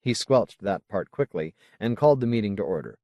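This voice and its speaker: Male, audiobook narrator, scripted fiction, expressive intonation, dry sound. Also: lowish voice, a little fast, dry recording, muffled recording.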